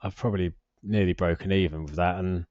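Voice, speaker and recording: Low-voiced British man, rambling casually. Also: steady tone, fairly quick, a little mellow, no room sound, muffled recording. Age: forties. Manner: rambling, hesitant, self-deprecating. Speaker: personal finance vlogger. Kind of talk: casual unscripted screen-share commentary.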